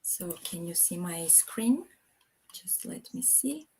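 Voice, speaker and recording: A woman's voice: fairly low pitch, lively intonation, slow pace, vocal fry, darkish tone, slight background noise. A woman in her thirties from Armenia, native Armenian speaker, presenting her country on a video call with many fillers and restarts. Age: 30s. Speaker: guest speaker. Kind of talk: online talk presentation.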